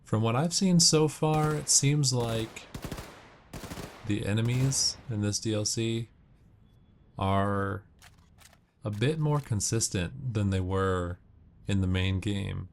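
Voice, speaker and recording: A man with a low voice talks through a game in a casual, matter-of-fact way. He explains as he plays, with some noise and game gunfire behind him. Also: slow, long pauses. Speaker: gamer giving walkthrough tips. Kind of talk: casual gameplay commentary. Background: video game gunfire and ambience.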